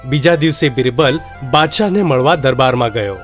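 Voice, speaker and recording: A man narrating a children's story in a steady, plain manner. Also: mid-range voice, moderate pace, faint music behind.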